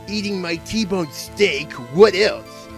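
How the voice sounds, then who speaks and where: A man's voice: high-pitched, slow, vocal fry, faint music behind, heavily acted. A man playing a nerdy, flippant witness in an amateur courtroom game fan dub, offhand and geeky. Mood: defensive, offhand. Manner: geeky, offhand, spells out slang. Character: nerdy, flippant male witness talking in internet slang.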